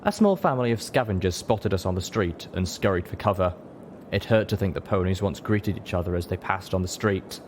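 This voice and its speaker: A man with a fairly low voice narrates a first-person story. He reads from a script in audiobook style. Clear noise runs behind his voice. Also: lively intonation, fairly quick, darkish tone.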